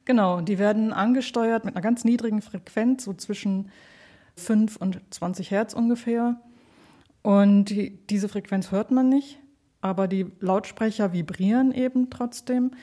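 A woman's voice: medium pitch, unhurried, mellow. A woman giving thoughtful, explanatory answers in a conversational interview, as an artist talking about her work. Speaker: interviewed sound artist and radio play maker.

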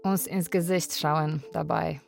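Carer lady, low flat voice, wistful, frank, radio drama, bit of music under it. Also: unhurried, creaky. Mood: reflective. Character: Polish live-in carer, woman, wistful and frank. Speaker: actor. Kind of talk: radio drama narration and dialogue.

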